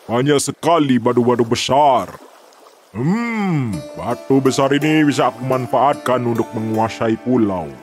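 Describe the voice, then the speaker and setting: A man's voice: mid-range voice, lively intonation, unhurried, darkish tone, soft background music, lightly acted. A man playing a big, sly, confident seafaring envoy in a children's story, speaking in a smug, formal manner. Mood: scheming.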